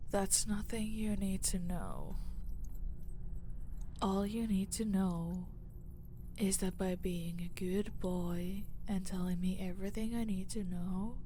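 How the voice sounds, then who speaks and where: A woman playing a cold, domineering mafia boss in a roleplay audio drama, taunting and menacing, often dropping into a breathy hush. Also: mid-range voice, slight background noise. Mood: taunting, threatening.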